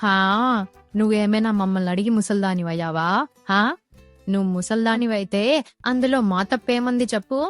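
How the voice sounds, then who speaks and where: A woman's voice: medium pitch, steady tone, brisk, no echo, soft background music, natural voice. A woman voicing a bad-tempered, jealous young village woman in a cartoon story, scolding and sarcastic. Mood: rude, indignant. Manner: scolding, sharp-tongued, sarcastic. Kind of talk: cartoon moral story dialogue.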